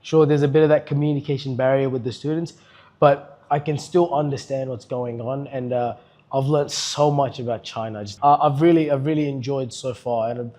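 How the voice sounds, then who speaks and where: A man's voice: medium pitch, fairly even intonation, warm tone. A young Australian man answering interview questions with enthusiasm, stumbling now and then. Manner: enthusiastic, slightly halting. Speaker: student at an education camp.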